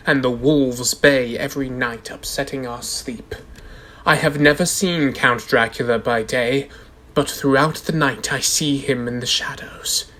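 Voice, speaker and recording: A man's voice: medium pitch, pushed and bright, a little hiss or hum. A young man playing a solemn, formal courtroom orator who reads dramatically in a radio drama. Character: solemn courtroom orator reading a dread-filled journal. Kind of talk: scripted radio drama narration.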